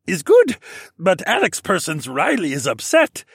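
A bright, squeaky creature voice pitched far above an ordinary man's, talking in clumsy, childlike fragments. It sounds like a magical winged horse from a storybook, put on by a male narrator. The delivery is eager and simple. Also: wildly swooping pitch, unhurried, husky, no echo, clean studio sound, full character voice. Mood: worried. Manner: simple broken grammar, eager. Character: male butterfly pegasus speaking broken pigeon-speak.

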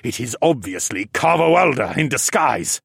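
A towering reptile-man regent, half-blind and consumed by rage, as a male storyteller puts him on. He drags out every threat slowly and bellows it, his delivery swinging widely, recorded close and dry in a storybook telling. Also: medium pitch, very animated, gravelly, a bit bright, breathy edge, no room sound, full character voice. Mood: scheming. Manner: bellowing, menacing, slow and deliberate.

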